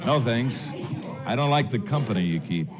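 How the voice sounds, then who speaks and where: An actor playing a wry, confident cowboy hero in a 1940s radio western, with folksy dry humour. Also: male voice, mid-range voice, animated, moderate pace, over music, lightly acted. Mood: cool challenge. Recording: muffled 1940s radio recording, faint noise.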